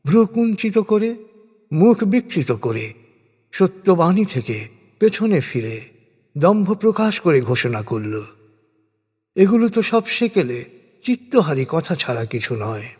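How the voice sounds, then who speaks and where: A man reads scripture in translation as a solemn scripted narration, with measured, dramatic phrasing and deliberate pauses. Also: fairly high pitch, big pitch swings, darkish tone, husky. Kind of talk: solemn scripted religious recitation in prose. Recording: long hall-like reverb.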